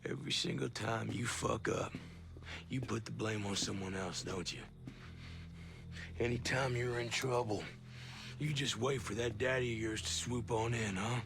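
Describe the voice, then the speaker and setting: An actor playing a ruthless, scornful military commander in a video game cutscene, cursing with open contempt. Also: male voice, medium pitch, steady tone, moderate pace, creaky, noisy background, natural voice. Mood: scornful rage. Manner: profane, scornful, menacing. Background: music.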